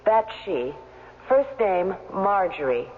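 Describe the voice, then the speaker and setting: A woman's voice: mid-range voice, sing-song, slow pace. An actress in a 1970s radio drama playing an anxious but resolute captive woman, speaking with guarded urgency. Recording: dull, noisy 1970s radio recording.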